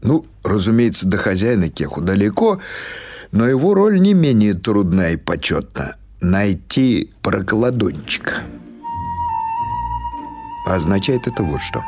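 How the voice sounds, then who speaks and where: A man's voice: on the low side, sing-song, unhurried, a little mellow, soft background music. A man reading literary fiction aloud as a scripted audiobook narration.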